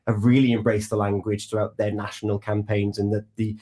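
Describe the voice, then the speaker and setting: Chatty breakfast radio host. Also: male voice, on the low side, a little fast. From Wales. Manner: chatty, lively questions with warm asides. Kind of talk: casual radio chat.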